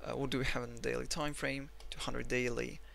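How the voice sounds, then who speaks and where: A man with a Russian accent walks through market charts at his desk, rambling and self-mocking. His voice is gravelly and bright, recorded on a desk mic. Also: mid-range voice, little pitch movement, a little fast. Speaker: trading analyst. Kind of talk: screen-recorded chart analysis.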